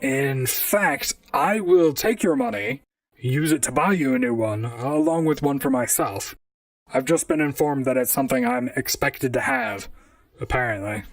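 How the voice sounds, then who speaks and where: A man playing a wry, deadpan robot private detective in a noir audio drama, both narrating and talking to others. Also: mid-range voice, normal speed, creaky, dry recording, recorded from a distance. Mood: businesslike. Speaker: narrator and lead character.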